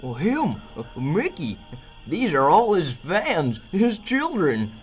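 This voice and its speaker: A man's voice: high voice, wildly swooping pitch, slow pace, exaggerated voice. A man voicing a manic, fawning cartoon dog, rambling and erratic, in an old-time radio drama parody. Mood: awed, jittery.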